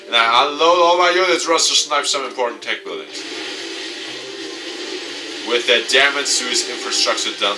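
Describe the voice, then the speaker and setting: A man reading visual novel game narration aloud in an expressive, dramatic way. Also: mid-range voice, recorded from a distance. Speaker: gaming YouTuber.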